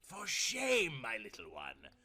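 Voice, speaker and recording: A man's voice: mid-range voice, huge pitch swings, slow pace, pushed and bright, heavily acted. A man voicing a courteous, theatrical devil in a storybook tale, formal and politely menacing. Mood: mock-courteous. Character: suave, mock-courteous devil, doting grandfatherly fiend.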